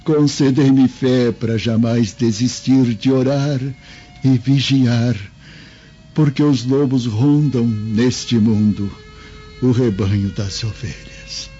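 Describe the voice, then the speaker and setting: An actor playing a devout, gentle old man in a radio drama, pleading solemnly. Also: medium pitch, fairly even intonation, slow pace, lightly acted. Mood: prayerful pleading. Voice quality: husky. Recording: dull lo-fi audio with music behind.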